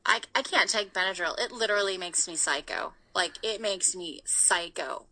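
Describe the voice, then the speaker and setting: A woman's voice: on the low side, normal speed, bright, forceful tone, poor audio. A woman co-hosting a casual podcast, blunt and joking, sliding into rants. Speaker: podcast co-host. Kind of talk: casual chat.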